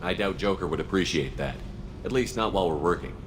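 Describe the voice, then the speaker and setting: An actor playing a resolute military commander in a video game, even-tempered and plain-spoken. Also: male voice, low voice, quick pace. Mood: composed. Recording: noisy game ambience.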